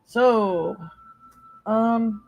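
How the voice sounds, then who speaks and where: A woman hosting a live psychic tarot stream, hoarse from losing her voice, chatting casually with viewers and laughing often. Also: on the high side, very slow, darkish tone, no room sound.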